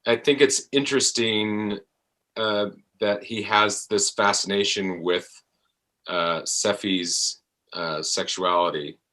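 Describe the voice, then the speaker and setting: A middle-aged man with a low voice and a bright, firm edge talks as an interview guest. He is reflective and conversational, speaking haltingly and often repeating words while he looks for the next one. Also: fairly even intonation. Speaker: voice actor as podcast guest.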